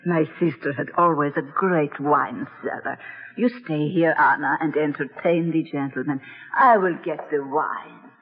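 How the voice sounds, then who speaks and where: Grieving mother, woman, husky, echoing hall, muffled vintage radio drama. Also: low-pitched, a little slow, exaggerated voice. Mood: mournful, gracious. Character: grieving older Polish mother in mourning, gracious. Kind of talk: old-time radio drama acting. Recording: muffled vintage radio recording, reverberant, music.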